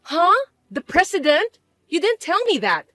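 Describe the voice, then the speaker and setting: A woman's voice: sits high, big pitch swings, normal speed, a bit bright, dry recording, clean studio sound. A woman voicing a bossy, scornful older mother-in-law who scolds and accuses in an indignant, whiny way. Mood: shocked. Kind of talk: dramatized story voice acting.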